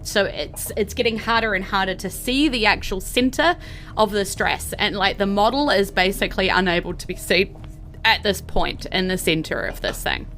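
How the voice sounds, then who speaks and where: A woman's voice: mid-range voice, moderate pace, a bit bright, a little hiss or hum. A woman game master improvising narration for a tabletop game in a casual, chatty, giggly way.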